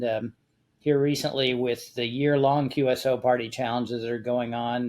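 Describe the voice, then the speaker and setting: A man with an American accent talking casually and explaining a hobby step by step, with a measured, conversational manner. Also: medium pitch, darkish tone. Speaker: amateur radio contest guest expert.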